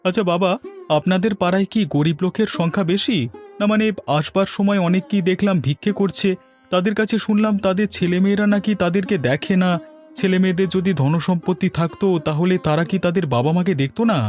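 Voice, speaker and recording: Casting: male actor for polite young village husband, higher male voice, humble and generous, cartoon with faint music. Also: a little fast, a little mellow, natural voice. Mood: earnest. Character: earnest, respectful young village son-in-law, generous and well-meaning. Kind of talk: cartoon fairy-tale voice acting.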